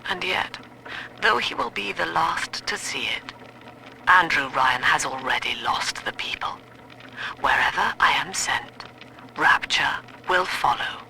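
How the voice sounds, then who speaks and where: Here is a cold female psychiatrist turned cult leader in a video game. Her voice is very bright and crisp-edged with a gravelly creak, and she speaks in measured, grandiloquent phrases. The audio log carries faint noise. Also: deep voice, lively intonation, exaggerated voice, rough recording. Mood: grave, defiant. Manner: measured, clinical, grandiloquent, commanding. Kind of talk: dramatic audio-diary monologue.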